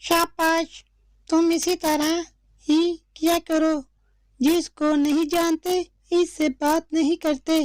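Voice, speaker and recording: A woman's voice: extremely high pitch, level tone, slow, a little mellow, no room sound, clean studio sound. A woman voicing a watchful, caring mother bird who speaks instructively in a cartoon story. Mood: worried, protective. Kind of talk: slow cartoon story dialogue.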